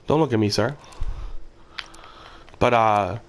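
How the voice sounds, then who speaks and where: A man's voice: fairly low pitch, slow, a little hiss or hum. A young man streaming, chatting casually and crudely over voice chat while gaming, mocking and trash-talking the other players.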